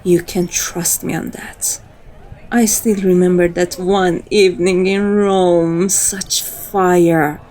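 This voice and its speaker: A woman's voice: fairly low pitch, level tone, takes it slowly, a little mellow. A woman playing a playful, seductive vampire in an ASMR roleplay, with a teasing, coaxing and intimate manner. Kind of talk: scripted ASMR roleplay acting.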